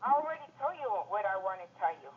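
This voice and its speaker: A woman's voice: medium pitch, very animated, quick pace. A woman from Ecuador with a Spanish accent and Spanish as her first language, indignant and insistent, repeating her questions on a phone call. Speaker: call recipient. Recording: muffled recorded phone call.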